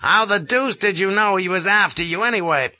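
A man voicing a gruff, irascible colonel with a rasping, indignant bark. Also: sits high, a little fast, pushed and bright, dry recording, voice pushed up. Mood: angry, scolding. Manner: barking, indignant, blustering. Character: gruff irascible American colonel, grizzled veteran.

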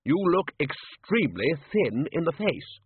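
A man voicing a blunt, blustery old wizard arch-chancellor in an audiobook, brusque, loud and emphatic. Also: a little high, sing-song, unhurried, slightly rough, no echo, phone-quality sound, voice pushed up, full character voice. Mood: indignant, accusing.

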